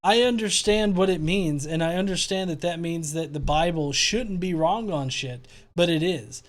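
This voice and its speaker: A man's voice: on the high side, normal speed. A man hosting a casual commentary stream, talking in a sarcastic, profane, rambling way. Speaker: host.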